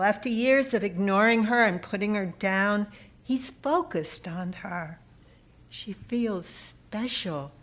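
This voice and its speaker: Female essayist reading own literary piece. Medium pitch, unhurried pace, deliberate dramatic phrasing with pauses.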